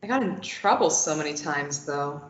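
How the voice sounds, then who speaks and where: A woman reading a sharp-tongued captive young woman. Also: low voice, animated, echoey room, muffled recording. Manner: quick retorts, tense and pleading when threatened. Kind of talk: table read of a play.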